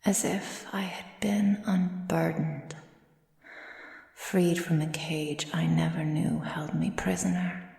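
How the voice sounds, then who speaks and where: Woman acting slow, whispery seductive vampire woman. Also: low voice, fairly even intonation, darkish tone, heavily acted. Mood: wistful, hypnotic. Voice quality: breathy, mostly whispered. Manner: slow, deliberate, theatrical, teasing. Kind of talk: ASMR roleplay, intimate monologue. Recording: roomy sound with long reverb.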